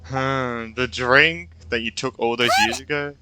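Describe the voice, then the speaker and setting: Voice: mid-range voice, measured pace, sharp tone, clear, resonant tone. A gaming streamer giving casual, joking commentary on a playthrough, swearing and thinking aloud, with game music behind.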